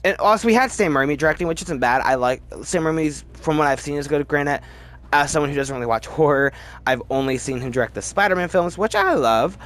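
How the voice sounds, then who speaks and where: A man's voice: on the high side, animated, a little fast, fairly bright tone. A man hosting a casual, opinionated film ranking video, rambling as he goes and often repeating words for emphasis. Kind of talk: casual opinionated movie commentary.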